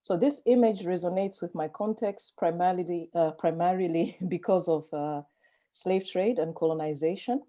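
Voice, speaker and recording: A woman from Malawi speaking as a panelist at an online conference, measured and reflective, with soft fillers. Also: lowish voice. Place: home, online video call.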